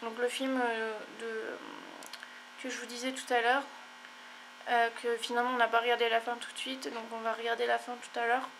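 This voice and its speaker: A woman's voice: on the high side, flat delivery, moderate pace, creaky, background noise. A young woman vlogging casually at home, rambling with many fillers, sounding congested and tired. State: stuffy, congested. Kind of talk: casual chat to camera.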